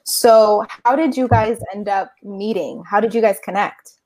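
A woman hosts a relaxed podcast chat, upbeat and quick to laugh. Her voice sits at a medium pitch, and she keeps the talk friendly and easy. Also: poor audio. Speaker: podcast host. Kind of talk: casual podcast interview.

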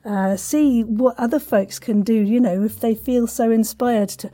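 A woman's voice: fairly high pitch, measured pace, dark tone. A woman hosting an interview with effusive, enthusiastic chat and frequent giggly delivery. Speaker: podcast host.

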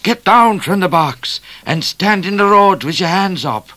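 A man's voice: mid-range voice, wildly swooping pitch, moderate pace, a bit bright, pitched above the performer's own voice. A man voicing a cheerfully mocking, thin male outlaw in a storybook reading. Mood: commanding. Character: gleeful, taunting bushranger, a long thin male outlaw. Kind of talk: storybook character voice.

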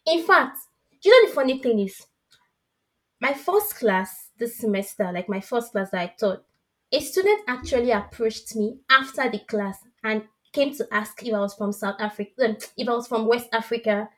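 A woman with a Nigerian accent talks in a lively, casual way. Her voice sits a little high, and she laughs often while acting out conversations. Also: normal speed. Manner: animated, laughs often, acts out quotes. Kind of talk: casual chat.

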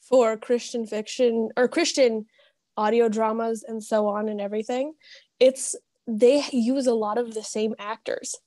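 A woman's voice: fairly high pitch, steady tone, unhurried, warm tone, no room sound, clean studio sound. A woman chatting casually among friends, talking with gushing fan enthusiasm. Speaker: podcast guest.

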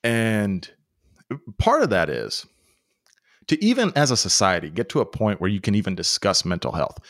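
A man in his thirties hosts the conversation in a very deep voice. He is relaxed and chatty, leaning on filler words. The sound is dry and close. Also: moderate pace, no echo. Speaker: podcast host. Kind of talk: casual interview.

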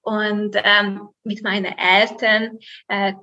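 Slow, bright-voiced young woman, Hungarian accent. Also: medium pitch, level tone, muffled recording. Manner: hesitant, many fillers, laughs softly. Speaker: guest, student. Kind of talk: interview answers.

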